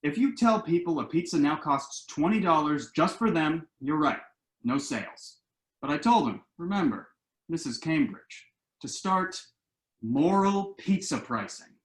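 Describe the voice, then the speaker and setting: A man's voice: a little high, lively intonation, unhurried. Man playing a glib grown son, roomy echo. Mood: smug, persuasive. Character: glib, enterprising grown son pitching shady business schemes. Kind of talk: stage-play acting in a virtual table read. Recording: roomy, echoing home recording.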